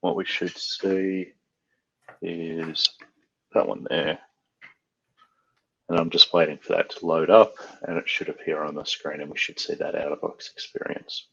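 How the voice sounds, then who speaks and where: A man's voice: low-pitched, little pitch movement, unhurried, vocal fry, pauses often, bright, forceful tone, husky, muffled recording. A man from Australia casually walking through a device setup tutorial, thinking aloud with frequent fillers. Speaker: IT trainer. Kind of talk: screen-share tech tutorial.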